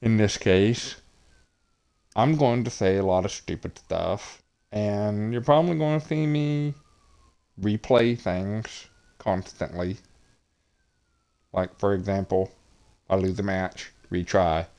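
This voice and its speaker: A man with a low voice and a very slow, deliberate pace narrates his gameplay stream. He sounds monotonous and hesitant, with frequent pauses and filler words. His voice comes through clean and smooth. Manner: monotonous, hesitant with many ums. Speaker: gaming streamer. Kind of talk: casual game commentary.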